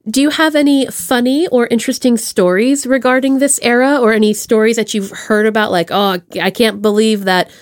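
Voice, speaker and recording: A woman's voice: on the high side, steady tone, fairly quick, few pauses, no echo. A woman hosting a podcast in a casual, chatty interview style, enthusiastic and playful as she talks with her guest.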